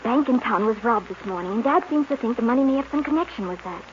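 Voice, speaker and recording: An actress playing a devoted, lovesick young rancher's daughter in a 1940s western radio drama. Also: female voice, a little high, animated, fast, close to an everyday voice. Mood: earnest, loving. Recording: muffled hissy 1940s radio recording.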